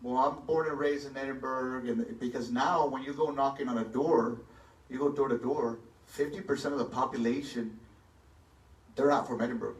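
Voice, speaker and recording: A man's voice: mid-range voice, fairly even intonation, normal speed. A man running for mayor, answering a forum question in a confident, emphatic way on a stage in a large hall. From Texas. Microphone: handheld mic, filmed from far back. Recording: echoey hall, far from the phone.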